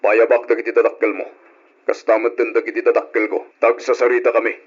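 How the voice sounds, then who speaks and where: An actor playing an aggrieved, betrayed husband in an Ilocano radio drama, speaking earnestly with emotion and an Ilocano accent. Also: male voice, mid-range voice, monotone, quick pace, slightly rough, natural voice. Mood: firm, pained. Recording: muffled, dull old radio drama recording.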